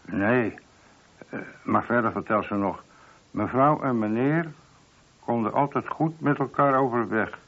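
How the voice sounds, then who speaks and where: A man's voice: mid-range voice, a little slow, long pauses, a bit bright. A man playing a chatty police sergeant in a radio drama, matter-of-fact and slightly cheeky as he reports. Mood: reporting. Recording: dull, muffled old radio drama recording.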